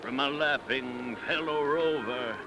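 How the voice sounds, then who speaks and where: A man reciting verse in a solemn, lyrical 1930s radio style. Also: medium pitch. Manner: measured, solemn, lyrical phrasing. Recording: old 1930s radio recording, loud music behind.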